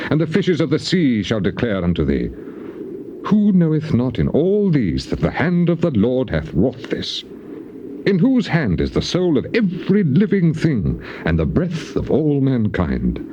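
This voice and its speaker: A man's voice: mid-range voice, huge pitch swings, measured pace. An actor playing an anguished, bitterly sarcastic righteous man in a dramatized scripture reading, declaiming in solemn archaic phrases. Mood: grave declaiming. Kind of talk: dramatized scripture reading, classical stage acting. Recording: muffled old cassette tape with clear noise.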